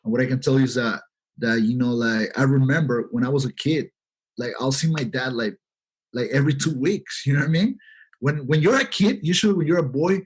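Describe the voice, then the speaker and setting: A man's voice: mid-range voice, fairly quick, breathy edge, rough recording. A man in his thirties from Peru, a podcast guest telling his story in a rambling, earnest way with many fillers. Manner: rambling, heavy "you know" fillers, earnest. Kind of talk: casual interview talk.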